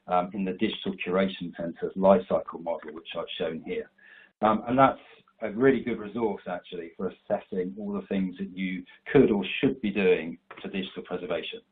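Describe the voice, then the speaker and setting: Low husky man with a stuffy cold. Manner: steady, explanatory delivery. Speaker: webinar presenter. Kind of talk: prepared slide presentation. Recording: tinny online call audio.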